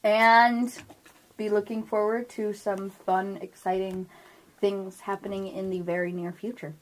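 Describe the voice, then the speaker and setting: A woman's voice: mid-range voice, flat delivery, unhurried, rough recording. A woman playing a tabletop game with friends, bantering casually and cutting in with jokey remarks. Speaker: podcast co-host and game player.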